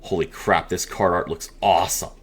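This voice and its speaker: A man hosting a dramatic reading mixed with excited reaction commentary, expressive and given to amazed exclamations. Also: sits low, moderate pace, breathy edge. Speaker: host.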